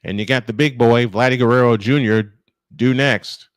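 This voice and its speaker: Male sports commentator doing live baseball play-by-play, medium-pitched, dry studio-close sound. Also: fairly even intonation, normal speed, no echo.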